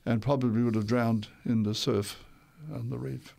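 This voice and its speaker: An older man recounts his life in a radio interview, speaking at a middling pitch. He rambles a little, restarts and corrects himself, and chuckles now and then. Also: steady tone, normal speed, vocal fry, darkish tone. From Tonga. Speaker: interview guest.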